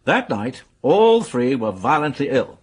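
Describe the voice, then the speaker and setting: A businesslike police major in a radio detective drama. His medium-pitched voice is a little husky, and he speaks in a measured, matter-of-fact way. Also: big pitch swings. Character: sober, businesslike male police major briefing colleagues. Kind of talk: radio mystery drama dialogue.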